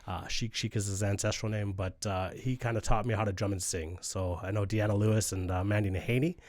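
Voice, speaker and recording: Guest man, forties, from the US. Quick, low-ish, easygoing and chatty, dry close sound. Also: steady tone, darkish tone, dry recording. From United States. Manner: easygoing, rambling, laughs often. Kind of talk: relaxed conversational storytelling.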